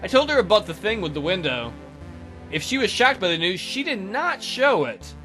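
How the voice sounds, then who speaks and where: A woman's voice: medium pitch, big pitch swings, moderate pace, fairly bright tone, rough recording. A woman let's play host reading visual novel narration aloud with irreverent, joking asides. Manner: irreverent, jokey. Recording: music behind the voice.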